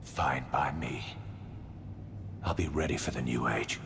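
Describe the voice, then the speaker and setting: Game cutscene role: vengeful veteran soldier, low and heavily creaky, grim, noisy recording. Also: male voice, measured pace, exaggerated voice. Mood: cold resolve. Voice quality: gravelly, creaky, hushed. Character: bitter, vengeful betrayed veteran soldier, middle-aged man. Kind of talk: dramatic video game cutscene acting. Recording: noisy track with long hall reverb.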